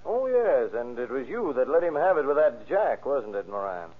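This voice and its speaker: A man's voice: mid-range voice, very animated, moderate pace. An American actor playing a confident, needling lawman grilling a suspect in a vintage radio drama. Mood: taunting. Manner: taunting, emphatic. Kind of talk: 1930s radio drama acting. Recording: muffled, band-limited old radio recording.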